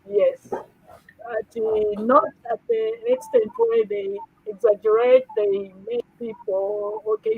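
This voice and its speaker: A woman's voice: a little high, creaky, husky. A woman guest on a talk show, chatting in a rambling, emphatic way and repeating words as she goes. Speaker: pastor's wife guest. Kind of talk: casual talk show chat. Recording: tinny phone-like call audio.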